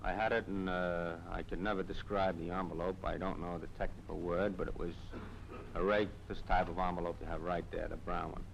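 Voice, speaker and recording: An American man testifying at a hearing in a measured, matter-of-fact way. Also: low-pitched, flat delivery, vocal fry. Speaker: witness, firemen's union president. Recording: faintly noisy 1950s hearing room newsreel.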